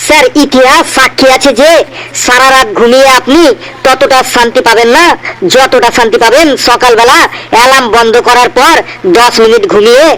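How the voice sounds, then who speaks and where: A man's voice: very high voice, brisk, fairly bright tone, rough recording. A man voicing a cheeky cartoon schoolboy who gives pleading, mock-earnest answers full of silly excuses. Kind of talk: comedy cartoon dialogue.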